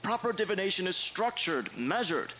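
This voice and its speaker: A man plays a stern academy headmaster in an audio drama, his bright, forceful voice swinging widely in pitch. He scolds formally and scornfully, over noticeable background noise. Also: big pitch swings, moderate pace, exaggerated voice. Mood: scornful anger.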